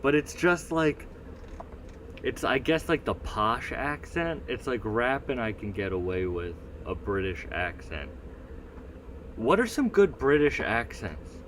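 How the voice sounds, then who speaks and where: A man's voice: mid-range voice, very animated, measured pace, a bit bright, a little hiss or hum. A man with a Northeastern US accent rambling through a casual solo livestream, swearing freely and reading the chat aloud. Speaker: livestream host.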